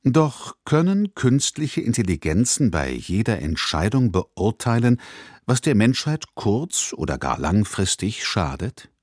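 Male broadcast announcer for a scripted intro: articulate, low register, very varied melody, studio-dry. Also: big pitch swings, unhurried, a little mellow, slightly rough, dry recording. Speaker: radio presenter.

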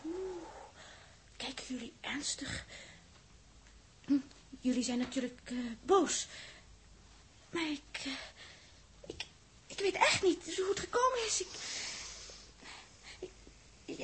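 Very high, girlish, naive young stowaway. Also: animated, a little slow, long pauses, fairly bright tone, full character voice. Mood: flustered, pleading. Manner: breathless, girlish, stammering, coquettish. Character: naive, chattering teenage schoolgirl stowaway aboard a spaceship. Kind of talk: 1960s Dutch radio drama acting.

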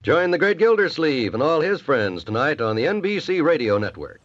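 A man's voice: a little high, sing-song, normal speed. A man reading network announcements in a 1950s radio announcing style. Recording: muffled old radio recording, faint music.

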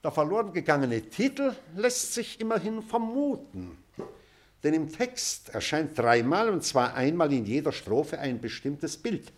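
An older actor playing an elderly schoolmaster, pedantic and wistful, lecturing deliberately in a German radio play. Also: male voice, fairly high pitch, sing-song.

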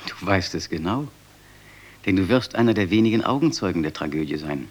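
A knowing narrator in a radio drama. His voice sits fairly low and moves with very lively intonation. He tells things gravely and with emphasis. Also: fairly quick. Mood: grave, urgent. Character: grave male narrator-witness of a royal tragedy, knowing.

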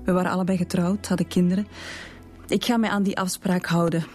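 A woman playing a guarded, dignified suspect in a radio drama, answering in a measured, reticent way. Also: lowish voice, little pitch movement, a little mellow, faint music behind, close to an everyday voice. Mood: guarded, resolute. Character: guarded, dignified married woman under questioning. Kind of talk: Belgian radio police drama acting.

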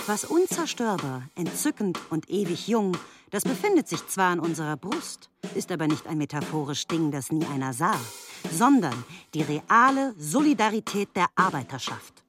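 A woman's voice: on the low side, big pitch swings, normal speed. A woman playing a confident, insistent socialist debater in a scripted radio drama, speaking emphatically with music underneath. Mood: assured.

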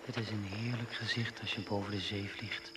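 A man's voice: lowish voice, fairly even intonation, moderate pace, lightly acted. An actor in a radio play playing a worn-out man who clings to hope. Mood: dreamy. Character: weary, worn-out male trekker clinging to hope. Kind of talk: radio drama acting. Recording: echoey, very noisy radio recording.

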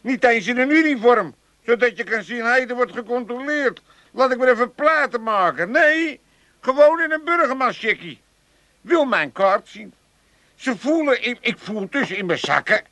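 A man's voice: very high voice, animated, normal speed, bright, forceful tone, dry recording, heavily acted. An actor playing a rambling, grumbling old grandfather with an Amsterdam accent in a radio comedy play. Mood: indignant. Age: older.

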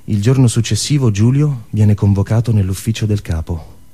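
A man narrating a scripted story theatrically, dramatic and emphatic, with staged pauses. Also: lowish voice, mellow, husky.

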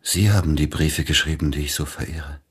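Wounded curt pianist guy in a radio play, super deep, really husky, restrained. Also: brisk, exaggerated voice. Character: celebrated concert pianist, wounded, curt, reserved. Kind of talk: German radio play acting.

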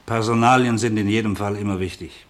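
An actor playing a pedantic police sergeant in a German radio play, explaining things in an officious, matter-of-fact way. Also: male voice, fairly low pitch, fairly even intonation, brisk, lightly acted. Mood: officious, insistent. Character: pedantic male police sergeant taking down a report.

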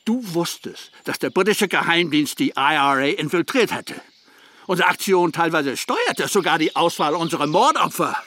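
Mocking high-voiced Irish ex-militant. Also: male voice, expressive, moderate pace, pushed and bright. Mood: taunting. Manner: scornful, sarcastic, theatrical. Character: mocking Irish ex-IRA man, cynical double agent. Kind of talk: German crime radio play acting.

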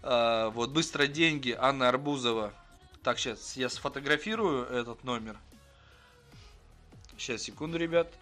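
A man's voice: mid-range voice, measured pace, pauses often, pushed and bright, soft background music. A man hosting a prank-call livestream in casual, slangy, foul-mouthed chat. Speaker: livestream host making prank calls.

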